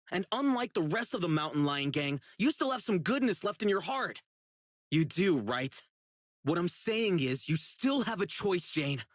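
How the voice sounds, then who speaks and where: A man's voice: sits high, no room sound, clean studio sound. An actor playing an earnest, righteous young male public security officer in a video game, speaking emphatically. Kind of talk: video game character voice acting.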